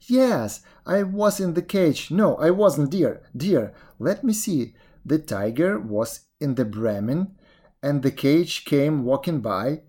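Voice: medium pitch, lively intonation, slow, mellow. A storyteller voicing a sly jackal who acts muddled, plaintive and scatterbrained in an animal folktale. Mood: flustered. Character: sly jackal feigning muddled, whimpering confusion.

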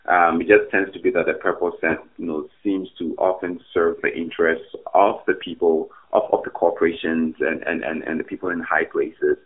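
A man's voice: low-pitched, level tone, normal speed, few pauses. A man from Ghana, an academic guest on a podcast, answering thoughtfully with many fillers and restarts. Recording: tinny phone-like remote line.

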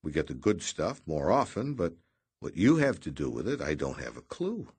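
A man's voice: sits low, wildly swooping pitch, normal speed, darkish tone, husky, dry recording, full character voice. A man voicing a small, elegant scientist who speaks in a dry, sardonic and polite way.

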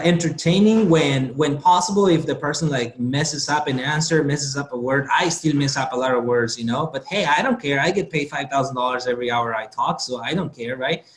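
Guatemalan-accented man, roomy sound. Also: on the high side. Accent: Guatemalan Spanish. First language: Spanish. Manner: jokey, self-assured storyteller. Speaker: guest speaker. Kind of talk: casual podcast conversation.

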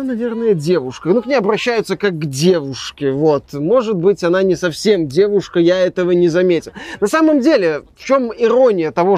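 A man's voice: high voice, a little mellow, dry recording. A young man reviewing a game in casual conversation, sarcastic and rambling. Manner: sarcastic, rambling, trails off often.

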